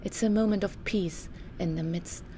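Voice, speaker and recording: A woman narrating a scripted documentary story in a measured, carefully enunciated way. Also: fairly low pitch, noisy background.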